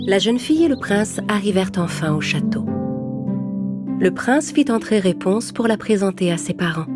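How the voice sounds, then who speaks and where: A woman storyteller reading a scripted fairy tale for children. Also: medium pitch. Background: music.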